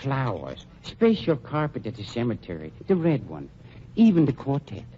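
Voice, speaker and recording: A man's voice: medium pitch, wildly swooping pitch, dark tone. A man playing an oily, falsely polite undertaker who blackmails a gangster, in 1940s radio acting on a dull, lo-fi recording with faint hiss. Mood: unctuous. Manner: unctuous, falsely polite, with drawn-out pauses. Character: oily, smooth-talking male undertaker and blackmailer.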